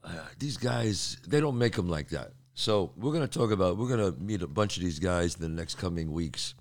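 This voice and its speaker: A man's voice: low-pitched, expressive, moderate pace. An older man hosting his show, talking casually and streetwise with a cold in his throat. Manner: streetwise, chatty, repeats himself. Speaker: podcast host. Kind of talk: casual monologue to listeners.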